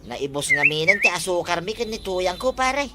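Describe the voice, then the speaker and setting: A woman with an Ilocano accent playing a chatty, gently scolding villager in a comedy drama. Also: lowish voice, sing-song, moderate pace, very noisy. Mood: friendly. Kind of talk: comedy drama acting, conversational.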